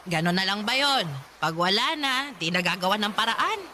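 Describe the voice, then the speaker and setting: A woman's voice: mid-range voice, lively intonation, moderate pace, bright, forceful tone, a little hiss or hum. A woman voicing a stern grandmother who scolds her grieving, drinking son, reproachful in a radio drama. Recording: music bed underneath.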